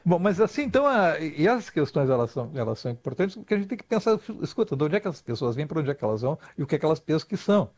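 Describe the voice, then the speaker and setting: A man's voice: on the high side, sing-song, rapid-fire, hardly any pauses, a little mellow, no echo. Older man, talkative and dull-toned. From Rio Grande do Sul, Brazil. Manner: rambling, lots of restarts and "tá?" tag questions. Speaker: guest expert. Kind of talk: conversational interview. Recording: dull lo-fi dry sound.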